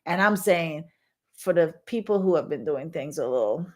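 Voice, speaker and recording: A woman hosting a casual livestream as a dating and marriage coach, bubbly and excited, talking in rambling run-on sentences. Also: deep voice, normal speed.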